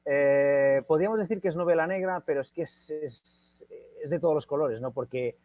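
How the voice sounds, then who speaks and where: An older man from Catalonia, speaking as a guest writer in a casual panel talk, pausing often with fillers and restarts. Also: a little high, no room sound. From Catalonia, Spain. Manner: hesitant, many fillers and restarts. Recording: muffled lo-fi video call.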